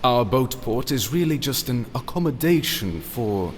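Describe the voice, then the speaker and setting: A man playing a suave, secretive ship captain with formal, smoothly polite manners in an adventure audio drama. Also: medium pitch, very animated, a little slow. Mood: courteous, sly. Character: suave, courteous, secretive male ship captain, master of ceremonies. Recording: echoey hall with background noise.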